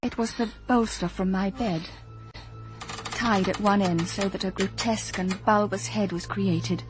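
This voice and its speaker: An actress playing an earnest, observant young detective, in radio drama dialogue and first-person narration. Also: female voice, mid-range voice, steady tone, unhurried, over music, natural voice. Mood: tense. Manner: earnest, thoughtful, literate narration.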